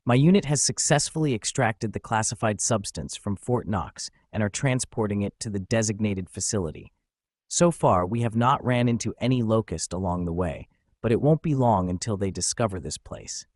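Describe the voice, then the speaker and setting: A man's voice: lowish voice, normal speed, darkish tone, no echo, lightly acted. A man playing a dutiful army captain giving an earnest mission report in an audio drama. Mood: dutiful, wary. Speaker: military officer.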